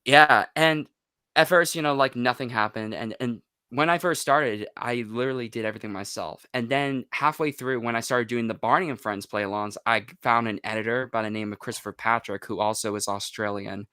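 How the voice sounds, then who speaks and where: A man's voice: medium pitch, fairly quick, pushed and bright, smooth, no echo, studio quality. An American man speaking as a podcast guest, telling anecdotes in a casual, conversational way. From United States. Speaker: podcast guest, online video creator.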